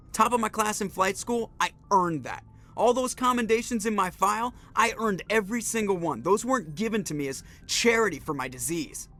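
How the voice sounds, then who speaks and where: A man's voice: on the high side, fairly bright tone. A man playing a cocky, sarcastic young starship pilot in a video game, with a brash, defensive manner. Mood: proud, defiant. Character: cocky, wisecracking male starship pilot with brittle bones.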